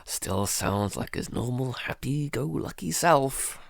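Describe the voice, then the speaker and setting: Male actor as anxious, sleepless shut-in for audio drama diary; low creaky voice, halting, sighing, very dry close sound. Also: animated, no room sound. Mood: weary, wary. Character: Jason, anxious, sleepless man barricaded at home, keeping a diary. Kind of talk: audio drama, first-person diary monologue.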